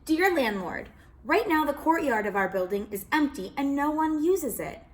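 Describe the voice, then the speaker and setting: A woman's voice: high voice, big pitch swings, normal speed, pitched above the performer's own voice. Kamala, a woman voicing an earnest young girl. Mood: earnest, pleading. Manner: earnest, pleading. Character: young girl writing a hopeful letter. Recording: roomy echo.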